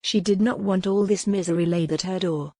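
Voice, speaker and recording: A woman's voice: fairly low pitch, fairly even intonation, brisk, darkish tone, dry recording, clean studio sound. A woman reading scripted historical romance narration as an audiobook narrator.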